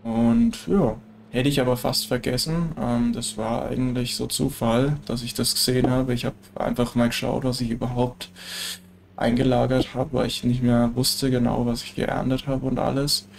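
A man's voice: medium pitch, moderate pace, creaky, a little hiss or hum. A German-accented man with a stuffy nose from a cold, chatting casually while narrating his farming game. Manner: relaxed, chatty, frequent filler tags. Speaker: let's play gamer. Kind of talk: casual gameplay commentary.

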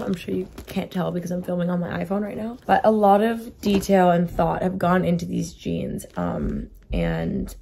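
Hoarse young woman chatting to camera. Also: fairly low pitch, dark tone. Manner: chatty, says "like" constantly. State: hoarse, lost her voice. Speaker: vlogger. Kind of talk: casual vlog chat. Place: bedroom, indoors. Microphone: phone camera at arm's length.

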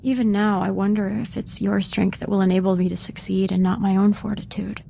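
A woman's voice: medium pitch, level tone, brisk, creaky, dark tone, a little hiss or hum, natural voice. A woman playing a humble, self-doubting young Jedi apprentice in a video game, speaking formally and earnestly.

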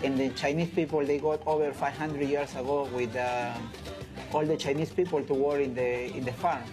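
A man in his thirties from Peru with a Peruvian Spanish accent, a chef speaking halting English in an informal interview. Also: medium pitch, fairly even intonation. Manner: halting non-native English with repeated phrases. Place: indoors in a restaurant. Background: music.

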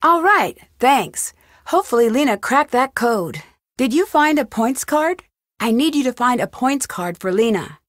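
A woman's voice: on the high side, big pitch swings, a little slow, no room sound, clean studio sound. An actress playing a curious, upbeat young woman detective in an adventure game, thinking aloud in short scripted remarks. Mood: friendly.

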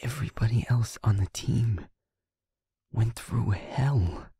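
Casting: male actor as driven young werewolf boyfriend for audio roleplay. Low, very breathy, slow, earnest and wounded. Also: mellow, dry recording, clean studio sound, heavily acted. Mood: anguished, driven. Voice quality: husky, breathy, often near whisper. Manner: halting, earnest, emotionally strained. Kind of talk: intimate audio roleplay acting.

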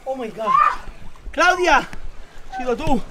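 A man in his thirties with a Spain Spanish accent, chatting casually and excitably as a playful family vlogger. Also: very high voice, slow, shouting. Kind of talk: casual vlog chat. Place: seaside house terrace and pool, outdoors. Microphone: handheld camera mic. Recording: noisy outdoor action-camera audio.

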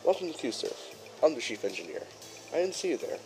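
A man playing a courteous chief engineer who presents a training film in formal, measured, instructional narration. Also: on the high side, sing-song, rough recording. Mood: welcoming. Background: music behind the voice.

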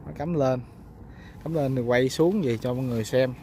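A man with a Southern Vietnamese, Mekong Delta accent talks in a mid-range voice. He chats casually to his viewers like a fishing vlogger, full of colloquial exclamations. It is recorded outdoors on a boat with clear background noise. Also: medium pitch, a little fast, warm tone. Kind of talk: casual outdoor vlog chat. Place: outdoors on a small boat on a river.

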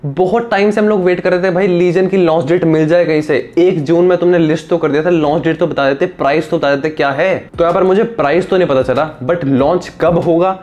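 A man's voice: fairly high pitch, steady tone, a little fast, few pauses, darkish tone, dull audio. A young man talking casually and directly to camera as a tech video host. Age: young adult. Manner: informal, direct address with rhetorical questions. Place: small indoor room. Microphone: clip-on lapel mic.

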